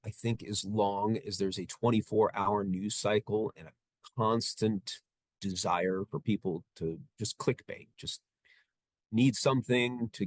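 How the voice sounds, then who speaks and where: Hesitant low-voiced man, dry sound. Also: no echo. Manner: searching for words, hesitant.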